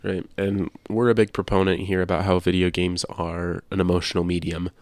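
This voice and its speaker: A man with a low voice talks casually as a podcast co-host, recorded dry with very little room sound. He stresses his points and often restates them for emphasis. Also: level tone, brisk, a little mellow, no room sound. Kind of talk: casual discussion of video games.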